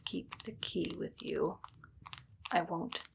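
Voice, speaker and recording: A woman playing a menacing, condescending evil doctor in a soft, whispered ASMR roleplay, speaking close to the mic with deliberate phrasing. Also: lowish voice, gravelly, noisy background, heavily acted. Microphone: close ASMR microphone.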